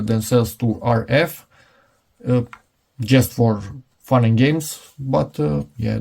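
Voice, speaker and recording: Middle-aged man, heavy vocal fry, soft mellow tone, hesitant rambling delivery, casual product demo. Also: mid-range voice. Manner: hesitant, rambling, thinking aloud. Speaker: product reviewer. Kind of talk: casual unscripted product demonstration.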